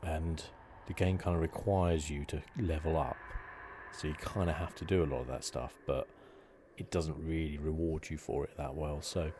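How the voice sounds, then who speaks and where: A soft-spoken man giving hesitant, rambling ASMR commentary as host of a video game playthrough. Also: extremely low pitch, steady tone, normal speed, background noise.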